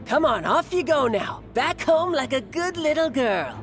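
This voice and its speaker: A smug male troublemaker in a game, taunting and sneering. His voice sits fairly high. Game music plays clearly behind him. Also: very animated, measured pace, fairly bright tone, heavily acted. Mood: mocking. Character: smug male impostor, mocking. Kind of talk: game voice acting.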